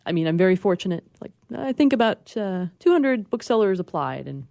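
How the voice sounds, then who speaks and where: A woman speaking as a bookseller guest in a casual radio interview, informal and gently enthusiastic. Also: mid-range voice, sing-song, a little fast, gravelly, dry recording, studio quality. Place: radio studio.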